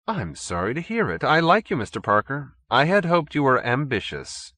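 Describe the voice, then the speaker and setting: Man acting haughty male cult leader, audiobook villain, urbane, stern, high animated pitch, dry sound. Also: moderate pace, no echo. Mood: smooth menace. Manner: pompous, stern, sneeringly courteous.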